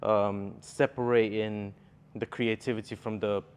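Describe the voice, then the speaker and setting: Low-voiced young man from Bulgaria. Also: flat delivery, a little slow, clean tone. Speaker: poet guest. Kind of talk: casual interview chat.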